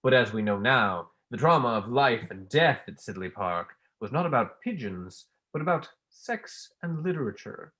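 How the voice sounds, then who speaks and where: A student actor playing a pompous, easily wounded academic, declaiming in a staged play reading. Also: male voice, mid-range voice, animated, normal speed, hardly any pauses, fairly bright tone, natural voice. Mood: declamatory, self-important. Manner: declamatory, oratorical.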